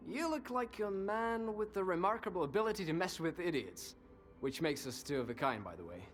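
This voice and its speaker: A man's voice: high voice, expressive, a little fast, soft background music. An actor playing a cynical, worldly male informant in a video game, talkative and sardonic, with an insinuating conversational manner. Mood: sly, probing. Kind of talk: video game dialogue acting.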